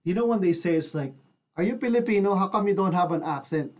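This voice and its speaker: A man's voice: sits high, quick pace, a little mellow. A man co-hosting an online radio interview, chatting casually in an enthusiastic, slangy manner.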